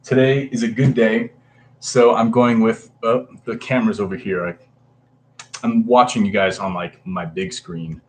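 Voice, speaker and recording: A man's voice: fairly low pitch, steady tone, normal speed. A man in his thirties casually chatting on a livestream, greeting viewers by name with lots of hesitant fillers. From Hawaii. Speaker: comic book livestreamer.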